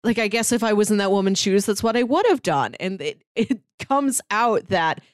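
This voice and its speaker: A woman's voice: mid-range voice, lively intonation, normal speed, no echo, studio quality. A woman co-hosting a casual review chat, retelling stories in a conversational way. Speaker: podcast co-host reviewing audio drama.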